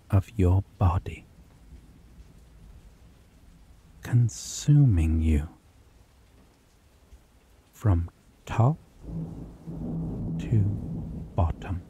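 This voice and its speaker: A man playing a slow, dark-voiced possessive vampire. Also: low voice, breathy edge, full character voice. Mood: whispered threat. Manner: slow, soft-spoken, menacing, drifts into whispers. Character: possessive obsessive male vampire, menacing captor. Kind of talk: ASMR roleplay.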